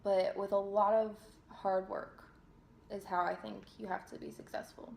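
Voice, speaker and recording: Young woman, from New Jersey, casual Q&A, chatty, self-deprecating, mid pitch, echoey room. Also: level tone, moderate pace, creaky, a little mellow. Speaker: singer and vlogger. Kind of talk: casual Q&A reading viewer questions. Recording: roomy echo.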